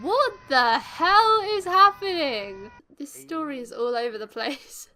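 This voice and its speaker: A woman's voice: sits high, animated, unhurried, bright, forceful tone. A woman giving casual, amused and giggly reaction commentary as the host of a reaction video.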